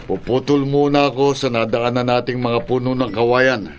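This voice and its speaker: An actor playing a resolute village watch leader readying for a fight in a horror radio drama. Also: male voice, medium pitch, fairly even intonation, normal speed, highs cut off, slight background noise, lightly acted. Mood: wary, resolute.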